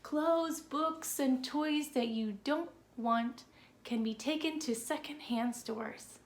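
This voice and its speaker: Woman reading as a patient male teacher, high-voiced. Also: takes it slowly. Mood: patient, explaining. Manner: explanatory, encouraging questions. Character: patient, explaining male schoolteacher in a children's storybook. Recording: roomy echo with background noise.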